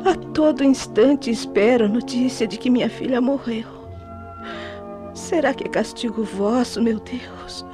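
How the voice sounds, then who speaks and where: A woman's voice: a little high, over music, natural voice. A woman playing a devout, emotional young mother praying for her baby daughter in a melodramatic radio drama. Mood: anguished, pleading. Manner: pleading, devout, drawn-out delivery.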